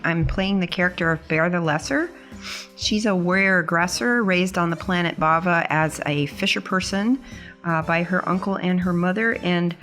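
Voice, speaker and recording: A woman's voice: lowish voice, a bit bright. A woman speaking casually and a little hesitantly as a player on a tabletop role-playing podcast, thinking aloud. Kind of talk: casual character introduction.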